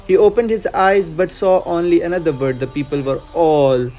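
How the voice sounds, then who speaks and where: A man's voice: fairly high pitch, steady tone, moderate pace, darkish tone. A man narrating a scripted moral story in an earnest, emphatic and dramatic way.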